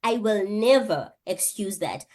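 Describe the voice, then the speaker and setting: A woman in her thirties speaks at a middling pitch. She answers as a guest in an earnest, reflective way, working through her ideas aloud with rhetorical questions and frequent restarts. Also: expressive, unhurried, darkish tone. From South Africa. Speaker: guest, PhD candidate and lecturer.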